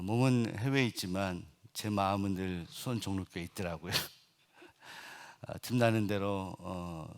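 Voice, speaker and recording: A middle-aged man preaching a Sunday sermon as senior pastor. He hesitates often with filler sounds, then builds to loud, emphatic passages. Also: low voice.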